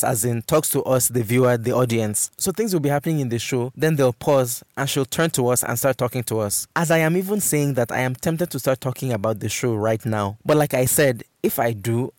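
A man's voice: medium pitch, a little mellow, no echo, studio quality. A man hosting a solo podcast, speaking conversationally and emphatically, repeating words for stress. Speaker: podcast host. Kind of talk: solo podcast monologue, conversational relationship advice and recommendations. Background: music.

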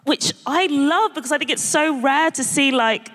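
A woman's voice: high voice, moderate pace, a bit bright. A bubbly woman comedian chats on a live stage, giggling and slipping into playful slang. Her voice carries the long reverb of a large concert hall. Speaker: guest comedian.

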